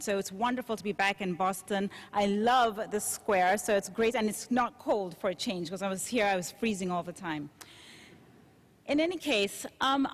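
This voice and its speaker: Woman from Zambia speaking in a hall. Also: medium pitch. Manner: friendly, conversational, with um fillers. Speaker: panelist speaker. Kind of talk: prepared talk to an audience. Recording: long hall reverb.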